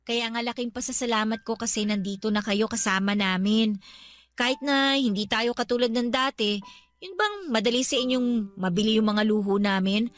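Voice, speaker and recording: An actress playing a devoted young adult Filipino daughter, gentle, respectful and reassuring, in a radio drama. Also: female voice, on the high side, steady tone, normal speed, natural voice. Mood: loving, reassuring. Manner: caring, respectful. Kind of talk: Tagalog radio drama acting.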